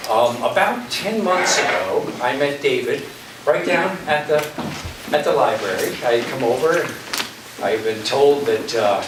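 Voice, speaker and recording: A man giving an informal, anecdotal introduction as a theater director, halting and pausing often. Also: medium pitch. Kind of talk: informal live introduction speech. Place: community center stage. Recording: echoey hall, distant mic, background noise.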